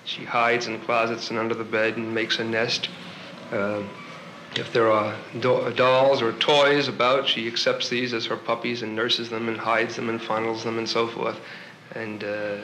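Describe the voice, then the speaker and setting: An American man, a veterinarian, explaining clinically in a measured, formal and hesitant way on a 1950s portable recorder. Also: mid-range voice, level tone, bright, forceful tone, roomy sound, slight background noise, poor audio.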